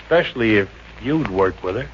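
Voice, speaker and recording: A man's voice: fairly low pitch, expressive, fairly quick, a little hiss or hum, natural voice. A man playing a greedy, cheeky talent agent. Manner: cheeky, shrugging comic delivery. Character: greedy fast-talking male talent agent. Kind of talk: 1950s radio comedy acting. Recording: dull old radio transcription.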